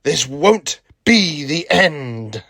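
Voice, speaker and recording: Man as a gravelly, flamboyant pirate captain. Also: fairly high pitch, sing-song, extremely slow, a bit bright, dry recording, studio quality, heavily acted. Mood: defiant. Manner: theatrical, defiant. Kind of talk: audio drama acting.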